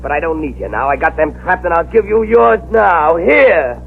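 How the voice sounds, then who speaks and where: A snarling gang boss. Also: male voice, a little high, lively intonation, normal speed, vocal fry, phone-quality sound. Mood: murderous. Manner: snarling, bullying. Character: ruthless, scheming male gang boss. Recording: scratchy old radio broadcast.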